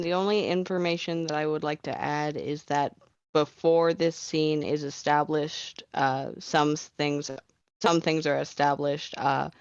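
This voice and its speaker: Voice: medium pitch, vocal fry, clear, resonant tone, no room sound, highs cut off. A screenwriter casually and hesitantly explaining their script in an online meeting.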